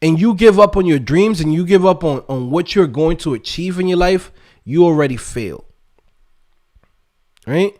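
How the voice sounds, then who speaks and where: A man's voice: a little high, animated, normal speed, pauses often, a little mellow. A man from the Dominican Republic giving a casual motivational rant as an online host and coach, emphatic and streetwise, with lots of slang and tag questions. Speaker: YouTube host and developer coach.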